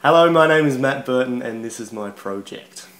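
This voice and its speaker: A man talking casually about his own project, halting and self-deprecating. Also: medium pitch, expressive, noisy background. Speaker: writer presenting own radio project. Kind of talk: casual promotional talk to camera.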